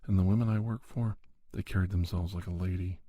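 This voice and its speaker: A man speaking softly in an ASMR monologue, in a halting, reflective manner, as a podcast host. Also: low voice, fairly even intonation, no room sound, dull audio. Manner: slow, halting, reflective delivery. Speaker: podcast host playing a conversation counselor. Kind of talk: soft-spoken ASMR monologue.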